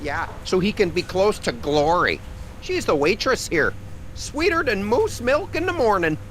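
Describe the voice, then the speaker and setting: An actor voicing a cocky, gossipy backwoods factory worker with folksy rural talk in a video game. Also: male voice, high-pitched, sing-song, measured pace, a bit bright, slight background noise, heavily acted, rough recording. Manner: folksy rural grammar, opinionated. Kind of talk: cartoonish video game dialogue.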